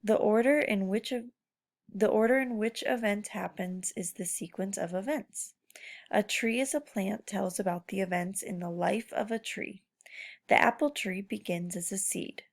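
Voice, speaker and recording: Creaky-voiced woman teaching children. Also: medium pitch, moderate pace. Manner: instructional, asking guiding questions and prompting. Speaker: schoolteacher. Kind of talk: informal read-aloud lesson for children.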